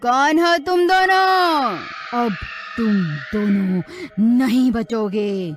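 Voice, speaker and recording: A woman's voice: on the high side, very slow, soft background music. A woman voicing a menacing man-eating witch from a cartoon horror story, in a threatening manner.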